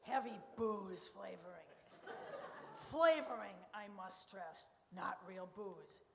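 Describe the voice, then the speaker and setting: Creaky-voiced, opinionated pie judge played by an actor. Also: mid-range voice, slow. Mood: emphatic, appraising. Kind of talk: live old-time radio comedy acting. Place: large church hall, live stage show. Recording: noisy, reverberant hall recording.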